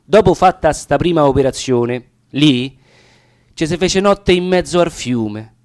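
Male actor, Roman accent, higher-pitched voice, dramatic verse recitation, deliberate pauses. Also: lively intonation, darkish tone. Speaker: actor reading poetry. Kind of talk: dramatic recitation of dialect narrative sonnets.

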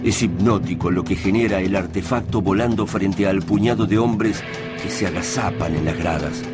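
A man's voice: sits low, normal speed, close to an everyday voice. A man delivering solemn, literary narration for a radio drama. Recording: loud music and gunfire behind.

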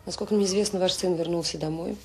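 An actress playing a kind but firm middle-aged director of a children's home in a radio drama, speaking briskly and composedly. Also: female voice, on the low side, fairly even intonation, slight background noise, lightly acted. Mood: composed, questioning. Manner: brisk, composed, reasoning with rhetorical questions. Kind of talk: Soviet radio drama acting.

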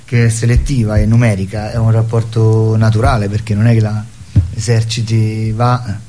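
A man's voice: on the low side, little pitch movement, normal speed. Man chatting over background noise. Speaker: theatre performer and guest. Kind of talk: casual interview talk.

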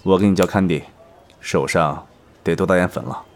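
An actor voices a loyal male pal in an audio drama. His voice is low, and he talks bluntly and casually with familiar slang. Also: moderate pace, lightly acted. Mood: practical, helpful.

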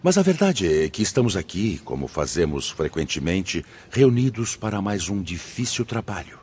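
A man acting a benevolent, authoritative spirit leader in a Brazilian radio drama, husky, formal and measured. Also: low-pitched, darkish tone, heavily acted. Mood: gracious, formal. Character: benevolent, authoritative male spirit leader addressing his brothers.

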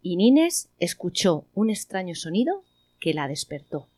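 A woman's voice: fairly low pitch, big pitch swings, a little slow, noisy background. A woman reading scripted narration for a radio play.

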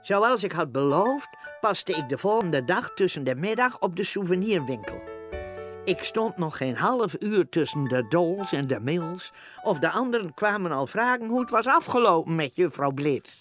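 Female narrator, radio drama: animated scripted storytelling over music. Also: fairly low pitch, moderate pace. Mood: matter-of-fact. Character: storyteller narrating own workplace tale.

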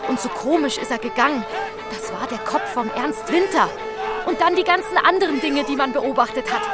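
High-voiced accusing townswoman. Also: lively intonation, a little fast. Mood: insistent, accusing. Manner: urgent, insistent. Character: agitated, accusing small-town woman urging on police. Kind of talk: German radio play acting. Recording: loud music and crowd behind.

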